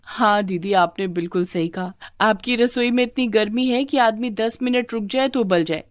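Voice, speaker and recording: A woman's voice: on the high side, normal speed, dry recording, studio quality, close to an everyday voice. A woman voicing a pampered young younger sister in a cartoon story, dramatic and complaining. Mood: fed up. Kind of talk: cartoon moral story acting.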